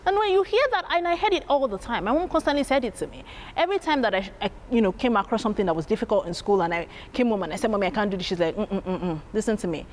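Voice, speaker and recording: A woman with a strong, loud voice, telling stories about her family as an interview guest, animated and laughing as she acts out her parents. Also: medium pitch, very fast, a little hiss or hum. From Nigeria. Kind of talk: candid storytelling.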